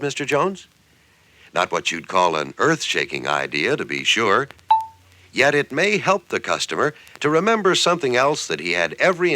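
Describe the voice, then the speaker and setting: An American man narrates in the style of an early 1960s sales training film. His pitch swings widely as he makes each point, and the tone is bright and forward. He sounds conversational yet persuasive, leaning on key phrases, in a dry recording with little room sound. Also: no echo.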